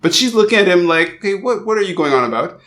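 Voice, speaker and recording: A man's voice: high voice, expressive, quick pace, a bit bright. Hesitant man describing a photo. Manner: hesitant, describes at length with fillers. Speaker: podcast host. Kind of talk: casual interview chat. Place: guest's house.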